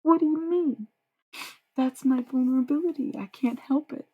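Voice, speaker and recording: A woman's voice: high voice, moderate pace, slightly rough, highs cut off. A young woman livestreaming, chatting with viewers in a rambling, dreamy way and swearing freely. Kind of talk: casual livestream chat with viewers.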